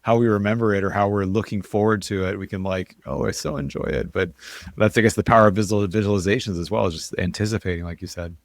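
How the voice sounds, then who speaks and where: A man's voice: fairly low pitch, quick pace, no echo. A man speaking as an interview guest, telling his story in a casual, chatty, conversational way. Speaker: interview guest, investor and author.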